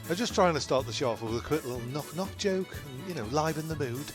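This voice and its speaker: A man's voice: fairly high pitch, lively intonation, quick pace, music in the background. A man in casual comic banter, apologetic and a little hesitant.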